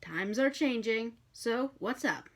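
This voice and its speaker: A woman's voice: fairly high pitch, lively intonation, takes it slowly, pitched above the performer's own voice, heavily acted. A woman voicing a sly male demon, cheeky and evasive, with slangy, hesitant protests. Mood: defensive.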